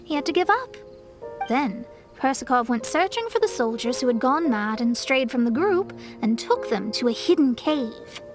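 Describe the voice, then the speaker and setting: An earnest young female investigator from a video game. The voice is high and articulate, laying things out step by step, with soft music underneath. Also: big pitch swings, moderate pace. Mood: earnest, explanatory. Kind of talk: video game English dub acting.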